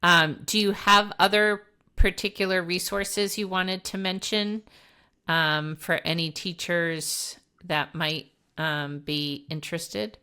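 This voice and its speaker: A woman's voice: on the low side, level tone, slow pace, bright, forceful tone, smooth. A woman hosting an education podcast, asking questions and affirming and summing up her guest's points. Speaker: podcast host. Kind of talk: casual podcast interview.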